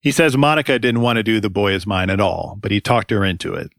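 A man hosting a music podcast, reading scripted commentary in a dry, wry, deadpan way. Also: fairly low pitch, quick pace, creaky, no echo. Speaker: podcast host.

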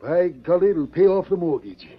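An actor playing a crusty, excitable sheriff, husky and folksy, in old-time radio western style. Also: male voice, sits high, normal speed. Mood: jubilant. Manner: folksy, exclamatory. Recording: muffled vintage radio drama recording.

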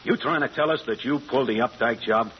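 A man's voice: mid-range voice, a little fast, close to an everyday voice. A man acting as a distrustful, commanding gang boss in a vintage radio drama, with clipped, slangy talk. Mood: skeptical. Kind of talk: 1940s radio gangster acting. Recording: muffled old radio broadcast, faint noise.

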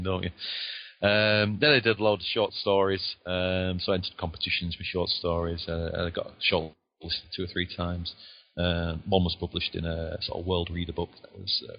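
A man's voice: deep voice, muffled recording. A man in his sixties with a Lancashire accent, a guest author answering interview questions in a modest, chatty way with many "uh"s and "I mean"s. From Lancashire, England.

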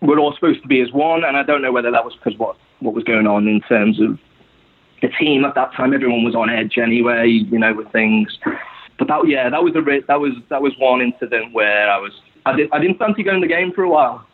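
Husky Scouse man on a tinny line. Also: mid-range voice, brisk. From Liverpool, England. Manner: rambling, full of "you know" fillers. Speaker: guest supporter. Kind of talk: casual podcast conversation.

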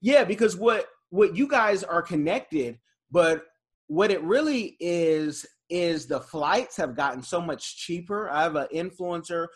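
A man's voice: high-pitched, lively intonation, a little slow, breathy edge. A man hosting a casual conversation, telling stories and laughing between remarks. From United States.

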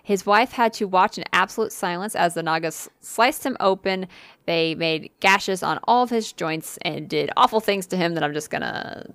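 A woman's voice: lowish voice, normal speed, fairly bright tone, dry recording, clean studio sound. A woman casually reading a spooky listener story aloud on a podcast, with fillers and asides. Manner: reads with occasional fillers and asides.

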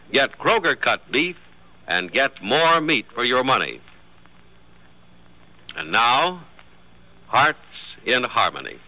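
Forceful old-time radio announcer man. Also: fairly low pitch, rough recording. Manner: emphatic, polished delivery. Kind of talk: 1950s radio announcing, scripted narration. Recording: vintage radio broadcast recording.

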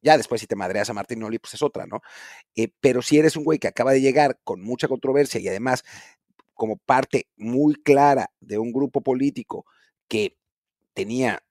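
A man's voice: medium pitch, normal speed, darkish tone, no room sound. Casual Mexican man, dry close sound. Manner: casual, thinking aloud with frequent tag questions. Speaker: podcast co-host. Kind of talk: casual sports chat.

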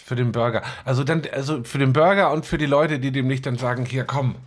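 A man's voice: mid-range voice, quick pace. A man chatting casually and bluntly as a podcast co-host, rambling and often stumbling over the starts of words. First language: German. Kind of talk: casual opinionated chat.

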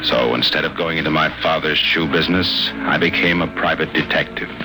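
A cocky private eye with a deep, low voice, tossing off wry tough-guy quips. The sound is an old radio broadcast with loud music behind it. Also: brisk, low-quality recording. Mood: grim. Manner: wisecracking tough-guy banter. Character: hard-boiled male private detective, wry and cocky. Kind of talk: 1950s radio drama acting and narration.

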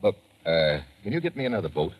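An actor playing a brisk, tough, wry American investigator in an old radio drama. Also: male voice, deep voice, sing-song, breathy edge, heavily acted. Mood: probing, urgent. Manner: brisk, informal, clipped. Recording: muffled old radio recording, loud music.

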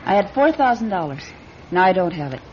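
An actress playing a tough, streetwise young hostess who talks bluntly in slang, in an old-time radio detective drama. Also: female voice, medium pitch, very animated, brisk, slight background noise, lightly acted. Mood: bitter, hurt. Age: young adult. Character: tough, streetwise young nightclub hostess, guarded but wounded. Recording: dull radio recording, music behind.

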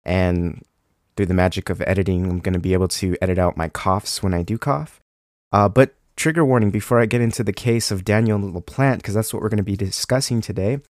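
A man's voice: fairly quick, a little mellow, dry recording, clean studio sound. A young man hosting a true crime podcast, a bit sick with a cold, talking casually with ums and likes. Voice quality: deeper than usual from illness. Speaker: podcast host.

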